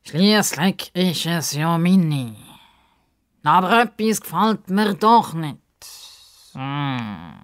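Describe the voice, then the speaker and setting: A woman voicing a pompous, grumbly male crocodile who talks in a ponderous, drawn-out way in a children's story. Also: deep voice, animated, full character voice. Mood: self-important. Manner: ponderous, drawn-out, self-important speech.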